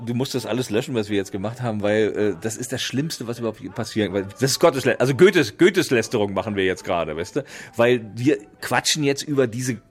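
A man's voice: mid-range voice, fairly quick, hardly any pauses, slightly rough. A man explaining literature informally and emphatically, opinionated, often checking in with short tag questions. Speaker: literary expert. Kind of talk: informal interview commentary on literature.

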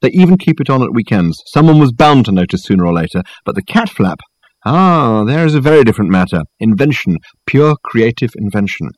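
A man's voice: medium pitch, very animated, brisk, no echo. A man voicing a flamboyant, pompous detective in an audiobook, lecturing theatrically and emphatically. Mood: grand, lecturing. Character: flamboyant, pompous self-styled detective, grandly professorial man. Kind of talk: dramatised audiobook dialogue.

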